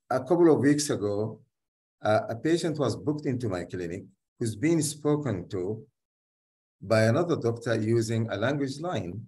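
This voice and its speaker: A man's voice: on the low side, a little mellow. A man working as a doctor and community leader, whose first language is Arabic, speaking in a hesitant way with many restarts in a casual discussion.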